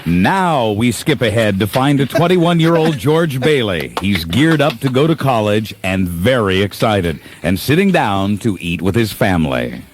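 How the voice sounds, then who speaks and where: A man's voice: fairly low pitch, sing-song, measured pace, few pauses, background noise. A man narrating a comedic radio play, introducing scenes.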